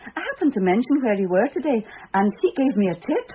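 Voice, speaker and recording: High, breathy, airy policeman's wife. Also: very animated, fast. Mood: pleased, airy. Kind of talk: British radio drama acting. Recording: muffled radio recording.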